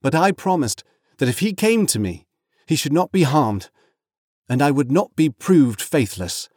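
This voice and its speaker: A man voicing an earnest, loyal, gentle young hobbit from a storybook, pleading in formal phrases. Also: fairly high pitch, sing-song, measured pace, no echo, studio quality, pitched above the performer's own voice. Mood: earnest, firm.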